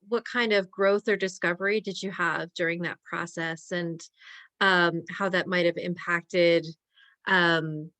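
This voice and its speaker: A woman's voice: lowish voice, sharp tone. A woman moderating a question-and-answer session, putting questions to panelists.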